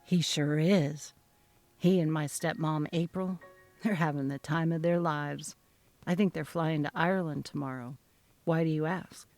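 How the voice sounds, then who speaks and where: An easygoing young man played by a woman in a dramatically read story, pitched down low. He is folksy and confiding, unhurried in his talk, with faint music behind. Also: fairly even intonation, a little mellow. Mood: sympathetic, casual. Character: easygoing young married man, gossipy old flame, bitter about stepsister. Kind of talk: dramatic reading of a text-message story.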